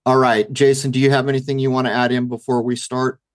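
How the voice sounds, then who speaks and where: A man hosting a talk radio show, introducing guests and asking brisk, direct questions. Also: mid-range voice, little pitch movement, no echo, studio quality.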